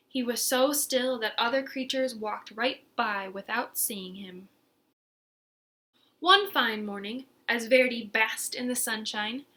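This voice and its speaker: A woman reading a children's storybook aloud as an expressive narrator, with dramatic, deliberate emphasis. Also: on the high side. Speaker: storybook reader.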